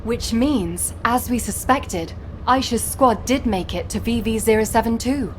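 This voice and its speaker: A woman's voice: a little high, measured pace, a little hiss or hum, lightly acted. A woman playing an earnest, playfully teasing young operations officer in a sci-fi video game, speaking articulately and expressively. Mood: focused, professional.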